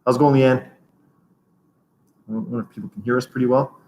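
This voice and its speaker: A man's voice: mid-range voice, steady tone, brisk, dark tone, a little hiss or hum. A man co-hosting a martial arts gym podcast, chatting casually and laughing often. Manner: laughs often, loose rambling talk. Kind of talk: casual chat.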